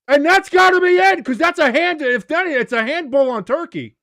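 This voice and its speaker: A man hosting a casual watch-along, joking, swearing and shouting at big plays. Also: very high voice, moderate pace, no echo, studio quality. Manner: excitable, profane, jokey, shouts at big plays. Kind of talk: casual live match commentary.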